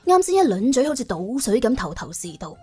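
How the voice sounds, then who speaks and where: A woman narrating an audiobook in colloquial Cantonese, telling the story in a wry, dramatic, expressive way. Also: on the high side, fairly quick, breathy edge, soft background music.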